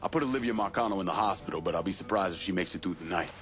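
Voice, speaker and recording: Man acting as low-voiced American crime boss, grim, vengeful, blunt, video game drama, music underneath. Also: rapid-fire, natural voice. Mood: cold, determined. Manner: blunt, profane, menacing. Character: grim, vengeful crime boss, Vietnam veteran in his prime. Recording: music behind the voice.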